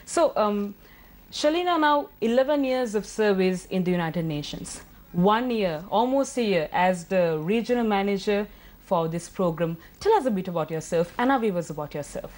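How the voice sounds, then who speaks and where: A woman's voice: mid-range voice, lively intonation, moderate pace, a little mellow. A woman hosting a TV business interview, introducing her guest formally and asking questions with a few hesitations. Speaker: TV show host.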